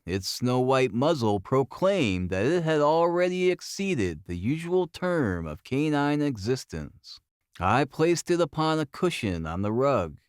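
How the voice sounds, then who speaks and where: A man's voice: mid-range voice, clean tone, dry recording, studio quality. A man reading a classic detective story aloud as an audiobook narrator, in a scripted, dramatic storytelling style.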